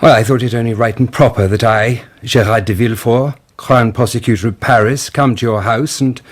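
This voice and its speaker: An actor playing a haughty crown prosecutor in a radio drama, formal and officious, with a husky voice. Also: male voice, medium pitch, fairly even intonation, brisk, lightly acted. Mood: stiffly polite.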